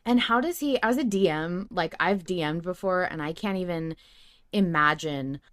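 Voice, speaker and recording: A woman's voice: lowish voice, expressive, normal speed, a bit bright, no echo, clean studio sound. A woman hosting a podcast in casual, enthusiastic, effusive conversation. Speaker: podcast host.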